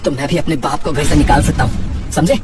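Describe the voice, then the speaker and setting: A woman voicing an emotional, indignant young man in a cartoon drama, halting and repeating phrases for emphasis. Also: deep voice, far from the mic, loud music behind the voice, close to an everyday voice. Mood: furious. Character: grieving, furious young grandson confronting his cruel father.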